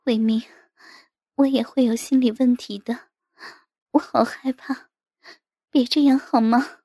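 Tender, worried wife for audio fiction , played by a woman: high, plaintive, cajoling, breathy, unhurried. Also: fairly even intonation, dark tone, no echo, clean studio sound. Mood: anxious, pleading. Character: anxious, tender wife, pleading and coaxing.

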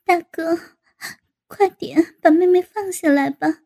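A woman playing a shy, flustered middle-aged sister who speaks breathlessly and bashfully. The voice is very high yet soft and mellow, with a slow, drawn-out delivery. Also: gravelly, exaggerated voice.

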